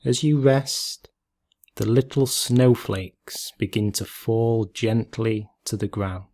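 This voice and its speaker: A man leading a guided meditation in a calm, gentle, measured way, leaving long pauses between phrases. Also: lowish voice.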